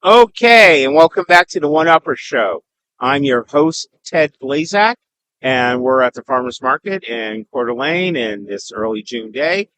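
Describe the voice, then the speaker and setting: A man hosting a podcast at an outdoor farmers market, eager and quick to chime in with short reactions. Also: mid-range voice, lively intonation, a bit bright, rough recording. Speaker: podcast host. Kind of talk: casual interview.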